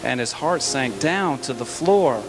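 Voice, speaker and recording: A man narrating a rhyming children's story in an expressive, rhythmic storytelling manner. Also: medium pitch, unhurried, over music, poor audio. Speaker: story reader.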